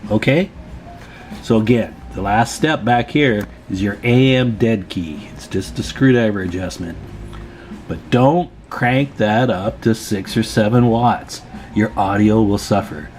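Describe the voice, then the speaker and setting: A man's voice: fairly low pitch, darkish tone. Man giving casual hands-on repair tutorial, music. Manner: plain-spoken, informal, advising. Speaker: radio repair tutorial presenter.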